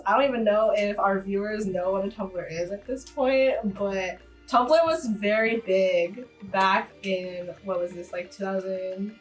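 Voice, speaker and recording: A woman moderates a panel over a video call, with a middling pitch. She is laid-back and encouraging, quick to laugh along with her guests. Faint music sits behind her voice. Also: low-quality recording.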